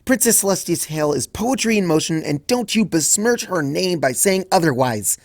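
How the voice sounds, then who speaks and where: A man voicing a frazzled, bookish young female pony scholar who fusses and quickly flies into a panic. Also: animated, no echo, clean studio sound. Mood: panicked. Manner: high-strung, rushes and fusses, flies into panic.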